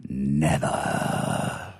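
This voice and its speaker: Man playing a slow, lofty angel in love. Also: very noisy. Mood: offended, ardent. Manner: stately, florid, poetic. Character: lofty male angel turned mortal, ardent, grandly earnest lover. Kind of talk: theatrical stage comedy acting.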